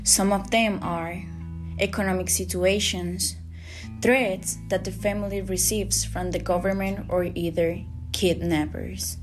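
Student from Costa Rica, reads her script slowly, guitar bed under her. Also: medium pitch, fairly even intonation. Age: young adult. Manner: slow, earnest reading. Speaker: student podcaster. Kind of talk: scripted reading.

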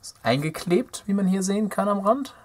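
A man's voice: sits high, animated, moderate pace. A young man giving casual hands-on commentary as he works at an indoor bench, thinking aloud and muttering playfully to himself. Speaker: DIY electronics video host. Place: indoor workbench.

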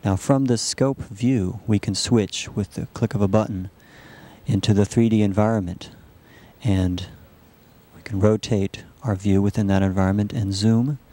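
A man narrating a software demonstration as a researcher, explaining it in a halting, measured way. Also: lowish voice, fairly even intonation. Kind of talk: live demo narration of a computer animation.

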